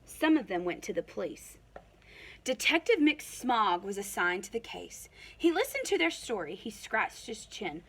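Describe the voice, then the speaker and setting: Bright, animated woman reading a storybook. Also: mid-range voice, moderate pace, slight background noise. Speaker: storybook reader. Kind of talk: expressive children's read-aloud.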